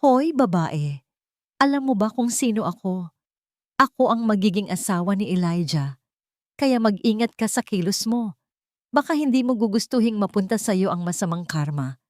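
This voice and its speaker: A woman's voice: mid-range voice, animated, normal speed, darkish tone, no room sound, studio quality, voice pushed up, lightly acted. A woman voicing a jealous, haughty young fiancée in a radio drama, sneering, possessive and menacing. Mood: threatening.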